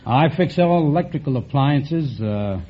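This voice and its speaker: A man who works as a repairman, answering a quiz host slowly and politely, with halting pauses. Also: a little high, expressive. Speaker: repairman, contestant. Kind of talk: game show interview. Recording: dull old radio broadcast.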